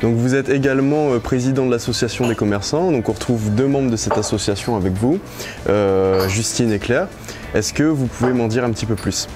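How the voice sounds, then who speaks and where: A man's voice: mid-range voice, brisk. A man asking questions as an interviewer, in a simple conversational interview style. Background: guitar music.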